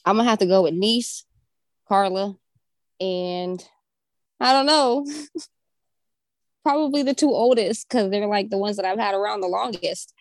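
A woman's voice: medium pitch, expressive, a little slow, long pauses, no room sound. Playful teasing woman in her twenties. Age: 20s. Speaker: family member. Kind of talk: casual family chat.

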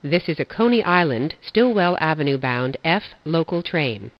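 An automated-sounding subway announcer reading stops and transfers in flat, measured phrasing. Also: medium pitch. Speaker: train announcer. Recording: dull lo-fi audio.

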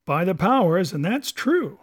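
A man voicing a scheming old male pirate cook with gruff, salty sailor talk, hearty and cunning. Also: a little high, expressive, unhurried, a little mellow. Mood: excited.

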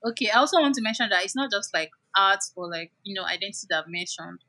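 Bright-voiced woman explaining casually. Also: mid-range voice, animated, fast talker. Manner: explains with many "like" fillers. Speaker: guest.

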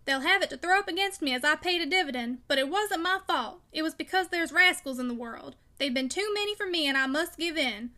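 A woman's voice: high voice, fairly quick, sharp tone. A woman voicing a fierce, bitter, broken old father with peremptory rustic speech in a storybook reading. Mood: bitter, defeated. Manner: peremptory, emphatic, dialect speech. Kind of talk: audiobook character dialogue.

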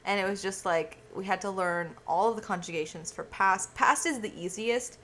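A woman's voice: mid-range voice, normal speed, a bit bright. A woman co-hosting a podcast in a casual chat, rambling, correcting herself and laughing at herself. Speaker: podcast co-host.

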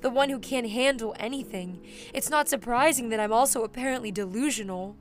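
A woman acting as an anxious teenage girl full of self-loathing in an audio drama. Her voice is high and hesitant, putting herself down, with music underneath. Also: brisk, lightly acted. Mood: bitter, subdued.

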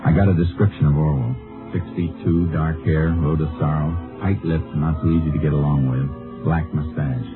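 An actor playing a determined Texas Ranger in a 1950s radio drama, an American with a folksy, clipped, understated delivery. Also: male voice, very deep voice, fairly even intonation, moderate pace, music in the background. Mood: dry, matter-of-fact. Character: laconic, determined male Texas Ranger investigator, also narrating. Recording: muffled old radio recording, narrow band.

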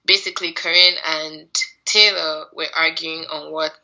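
A woman co-hosting a podcast in casual chat, with a husky voice and a tired, sleepy edge. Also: low voice, steady tone, moderate pace, a crowd in the background, rough recording. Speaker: podcast co-host. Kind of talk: casual chat recapping TV show.

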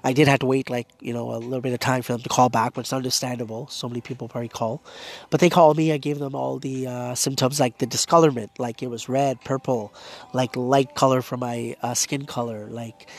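A man's voice: mid-range voice, fairly even intonation, brisk. A man hosting a podcast, talking casually and earnestly with a blocked nose and a fading voice, full of fillers. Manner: rambling, filler-heavy, earnest. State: congested and losing his voice. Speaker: podcast host. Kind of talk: casual personal monologue.

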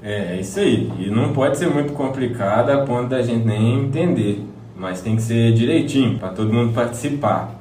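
A man's voice: medium pitch, normal speed, mellow, lightly acted. Guy playing curious plain-talking community man, radio soap, echoey and a bit distant. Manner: plain-spoken, questioning. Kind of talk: scripted radio soap dialogue. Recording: echoey, distant mic, faint noise.

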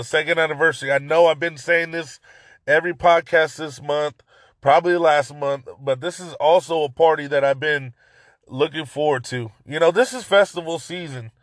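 A man hosting a casual podcast, his voice hoarse and raspy from yelling, talking excitedly and rambling with many restarts. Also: a little high, normal speed, no room sound. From Central California. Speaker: podcast host. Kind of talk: casual solo podcast talk.

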